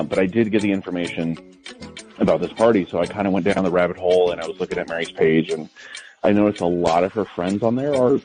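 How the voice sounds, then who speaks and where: Deep-voiced man calling in. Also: darkish tone, poor audio. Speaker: caller to a radio show. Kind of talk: casual conversational explanation.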